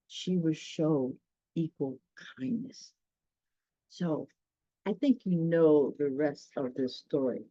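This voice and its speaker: Casting: woman storyteller for children's tales, very unhurried and mellow, explanatory, chatting to listeners between passages. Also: on the low side, breathy edge, no echo, lo-fi sound. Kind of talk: read-aloud children's story with chatty asides.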